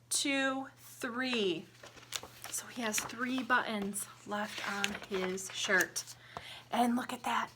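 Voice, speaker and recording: A woman reads a storybook to young children with a bright, fairly high voice. She takes her time, slipping between expressive narration and sing-song lines. Simple questions invite the little listeners to join in as a teacher would. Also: slight background noise. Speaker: teacher reading a picture book aloud to young children. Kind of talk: interactive children's storybook read-aloud with counting and singing.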